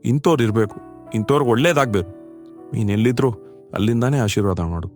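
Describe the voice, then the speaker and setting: A man's voice: mid-range voice, fast, darkish tone, soft background music, natural voice. A man playing a kindly, grieving older husband, an electrician, speaking in an earnest, fatherly way in a cartoon story. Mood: grieving, tender. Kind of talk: dramatic dialogue in a cartoon short story.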